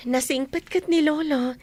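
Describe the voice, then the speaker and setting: Young grandchild played by a woman, cheerful and affectionate, high-pitched, playful audio drama delivery. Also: exaggerated voice.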